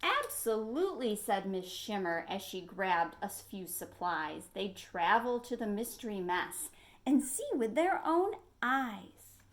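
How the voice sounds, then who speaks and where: A woman's voice: medium pitch, expressive, slow pace. A woman reading a picture book aloud to young children as a librarian, expressively, in a style that invites listeners in with questions. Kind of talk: animated storytime read-aloud for young children.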